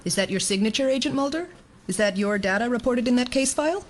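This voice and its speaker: A woman's voice: on the high side, lively intonation, quick pace, slight background noise, natural voice. Actress as stern, commanding female bureau boss. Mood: stern, sardonic. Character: stern female FBI assistant director, exasperated, authoritative, sardonic. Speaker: senior FBI official. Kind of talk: audio drama acting.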